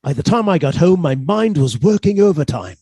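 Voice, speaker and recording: An actor playing a mild, henpecked older botany professor with a halting, polite manner in a radio suspense drama. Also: male voice, a little high, very animated, dark tone, breathy edge, full character voice. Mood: quietly scheming.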